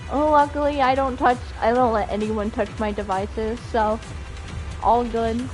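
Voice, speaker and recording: High-pitched woman streamer over game music. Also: a little slow, low-quality recording. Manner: giggly, animated reactions, stumbles when reading aloud. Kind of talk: livestream chat while reading game dialogue aloud. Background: video game music.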